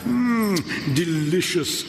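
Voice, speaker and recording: An actor playing a vain, drunken nobleman and wine lover in a gothic radio drama, halting and theatrical in manner. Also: high voice, lively intonation, vocal fry, slight background noise, exaggerated voice. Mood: merry, tipsy. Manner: halting, chuckling, exclamatory. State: drunk, as acted. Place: large church hall, live before an audience. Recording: echoing cavernous hall, live recording.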